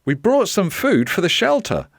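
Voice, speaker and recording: A practical storybook mum, voiced by a man. The pitch is high and lively, and the manner is gently firm and apologetic. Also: moderate pace, creaky, dry recording, studio quality, heavily acted. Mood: curious. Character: practical, gently firm mother of young boy.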